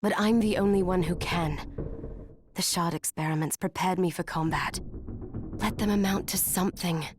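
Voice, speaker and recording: A woman's voice: fairly low pitch, fairly even intonation, normal speed, natural voice. An actress playing a resolute, dutiful young female fighter in a fantasy video game, with an earnest, determined delivery. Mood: resolute, reassuring. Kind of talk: dramatic video game voice acting. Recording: noisy game sound behind voice.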